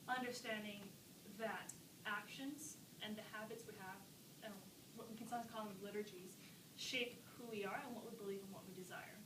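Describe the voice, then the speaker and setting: A young woman from Malaysia giving a graduation speech, thoughtful and self-deprecating, with many fillers and restarts. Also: medium pitch. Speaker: graduating student. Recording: roomy hall, far from the mic, noisy.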